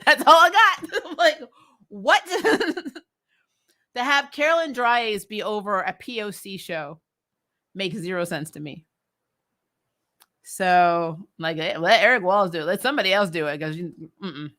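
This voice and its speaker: A woman's voice: mid-range voice, unhurried, pauses often, pushed and bright. A woman hosting a recap livestream in casual chat, blunt and opinionated, with an exasperated, giggly way of talking. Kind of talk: casual chat, reading and answering viewer comments.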